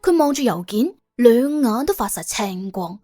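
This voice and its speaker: A woman with a Cantonese accent narrating a comic crime story in a colloquial, wry, expressive way. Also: fairly high pitch, measured pace, soft background music. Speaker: audiobook narrator.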